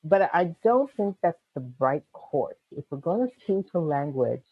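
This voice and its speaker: A woman from Panama speaks with a slight Jamaican lilt and a husky, breathy voice. She explains things clearly and calmly in a casual interview, now and then with a light laugh. The recording is dry and a little dull, like a muffled call line. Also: sits low, a little slow, no room sound. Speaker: guest, medical interpreting executive.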